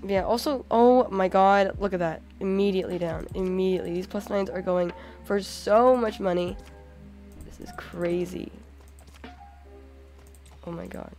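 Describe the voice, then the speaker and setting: A young woman with a cold talks over her gameplay, her voice hoarse and worn. She gets excitable, with lots of "oh my god" and "like" in casual commentary. Soft game music plays faintly underneath. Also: mid-range voice, a little slow, long pauses. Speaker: gaming channel host.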